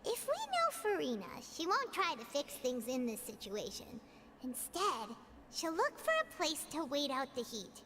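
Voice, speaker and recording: A little boastful fairy sidekick from a game, super squeaky n animated, music behind. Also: female voice, measured pace, background noise, heavily acted. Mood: earnest. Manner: perky, refers to self in third person. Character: small childlike female fairy companion, eager and boastful. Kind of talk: video game voice acting.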